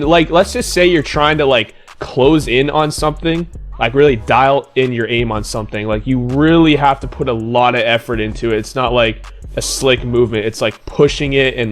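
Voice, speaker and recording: A man with a mid-range voice, slightly hoarse, runs a relaxed gaming tutorial. He talks straight to his viewers in a loose, chatty way, often saying "like". Faint music plays behind him. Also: medium pitch. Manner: casual, talks straight to viewers, many "like" fillers. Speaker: gaming YouTuber.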